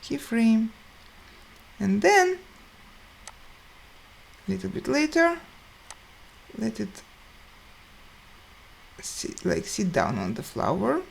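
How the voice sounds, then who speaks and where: Woman doing a software how-to, real slow and halting, bit of creak, pitch moves around a lot. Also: wildly swooping pitch. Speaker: tutorial host. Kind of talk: step-by-step software tutorial narration.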